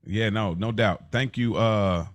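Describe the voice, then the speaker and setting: A man's voice: fairly low pitch, measured pace, dry recording. An American man co-hosting a podcast in casual, chatty talk. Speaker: podcast co-host and live producer.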